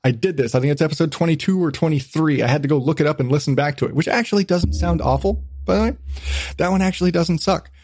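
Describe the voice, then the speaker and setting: Foul-mouthed male podcast host, higher-pitched voice. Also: fast talker, a little mellow, slight background noise. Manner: profane, blunt, self-deprecating. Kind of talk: casual podcast chat.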